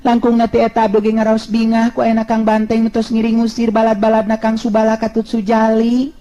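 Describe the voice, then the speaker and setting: A woman voicing a polite, demure and grateful young village woman in a storytelling, gentle and deferential, on a dull recording. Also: a little high, monotone, fairly quick, lightly acted. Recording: dull, lo-fi sound.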